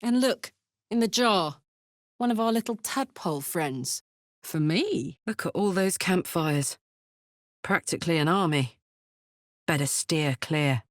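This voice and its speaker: A woman's voice: fairly low pitch, lively intonation, measured pace, pauses often, no room sound, clean studio sound. A woman voicing a brash, big-hearted, hot-tempered warrior in a fantasy video game, speaking bluntly, informally and with open emotion. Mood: playful, warm.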